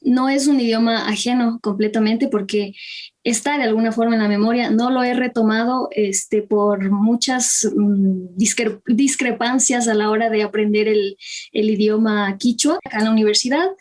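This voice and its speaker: A woman's voice: fairly high pitch, steady tone, normal speed, a little mellow. A woman from Chimborazo, Ecuador, whose first language was Kichwa, answering as a guest singer hesitantly with many fillers. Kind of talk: interview answer.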